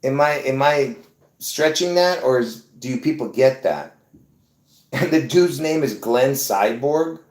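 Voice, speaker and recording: A man hosts his own video in a casual, rambling monologue, repeating words and getting worked up as he talks to viewers. His voice sits in a middle pitch and the sound rings around an echoey room. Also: unhurried. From Lebanon. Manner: excitable, repeats himself, laughs between points. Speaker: video host.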